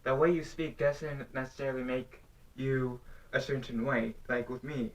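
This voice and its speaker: Halting young man, set back from the mic. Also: mid-range voice, level tone. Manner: halting, rambling, earnest questioning. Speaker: vlogger. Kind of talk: unscripted talk to camera. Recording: voice somewhat distant from the mic.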